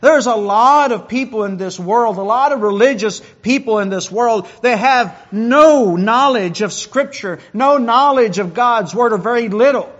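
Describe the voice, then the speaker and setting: High-voiced preacher, lo-fi sound. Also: male voice, measured pace, hardly any pauses, a bit bright. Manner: repeats words when building a point. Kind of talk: sermon.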